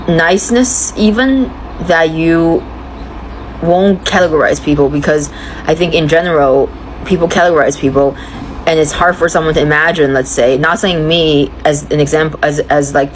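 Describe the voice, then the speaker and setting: A woman from China with a low voice speaks openly to her phone, thinking aloud in a searching, confiding way. Faint noise hums behind her. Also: rough recording. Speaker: vlogger sharing personal reflections. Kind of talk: candid personal monologue.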